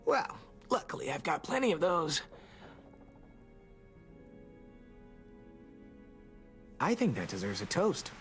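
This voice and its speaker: A charming teenage boy talks in an American accent, hesitant and self-deprecating. The delivery is wry, natural video game acting. There is soft music behind the voice. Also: on the high side, huge pitch swings, fairly quick, full character voice. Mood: playful, charming.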